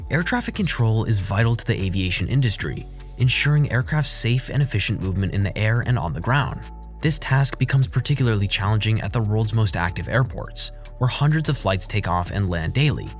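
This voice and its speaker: A man reading a scripted explainer as a documentary narrator, with a measured, formal delivery. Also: fairly low pitch. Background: background music.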